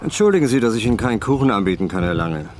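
An actor playing a calm, courteous senior policeman who probes tersely in a German radio drama. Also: fairly low pitch, rapid-fire, noisy background. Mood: dry, curious. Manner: dry, polite, terse questioning.

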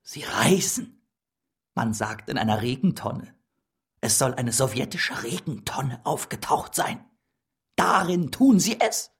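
A man's voice: medium pitch, very animated, measured pace, breathy edge, exaggerated voice. An actor playing a servile jester puppet reporting cautiously to his minister, deferential in manner. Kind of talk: radio play acting.

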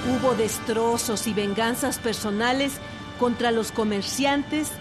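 Female narrator for historical radio drama, fairly high voice, scripted read over music. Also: fairly even intonation, a little slow.

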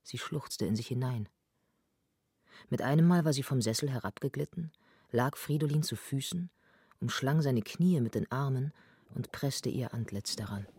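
A man's voice: medium pitch, animated, moderate pace, a little mellow, husky, dry recording, clean studio sound. A man reading literary narration in a radio play.

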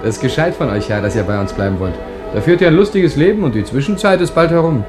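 An actor playing a jovial, sly young village farmer in a radio drama, hearty and knowing as a host to strangers. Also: male voice, medium pitch, sing-song, fast, over music, natural voice. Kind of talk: German radio drama acting.

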